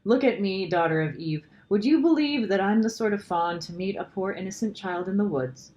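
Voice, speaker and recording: A woman voicing a gentle, guilt-ridden male faun in a children's storybook, anguished and pleading in a dramatic way. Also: mid-range voice, moderate pace, voice pushed up, natural voice. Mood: guilty, miserable. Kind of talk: children's storybook character reading.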